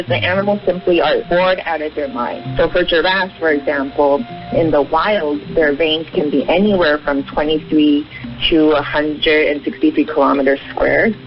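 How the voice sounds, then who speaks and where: A man's voice: a little high, steady tone, normal speed. A man explaining things informally in a radio interview, in the manner of an advocate. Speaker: animal welfare advocate being interviewed. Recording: muffled narrowband shortwave radio, music behind. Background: music.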